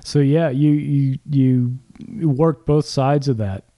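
A man's voice: medium pitch, steady tone, slow pace, lo-fi sound. A man hosting a podcast interview who asks friendly, rambling questions. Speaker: podcast host. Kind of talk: casual interview conversation.

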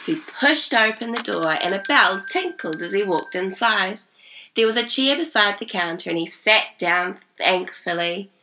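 A woman, reading a children's story aloud in an animated, smiling way. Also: mid-range voice, unhurried, background noise. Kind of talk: expressive storybook read-aloud. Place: small indoor reading room.

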